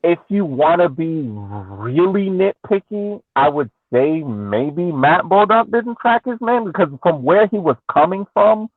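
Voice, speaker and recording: A man's voice: fairly high pitch, expressive, unhurried, hardly any pauses, dry recording. Man on a tinny, phone-like line. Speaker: podcast panelist. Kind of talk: casual sports debate and analysis. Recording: tinny phone-like call audio.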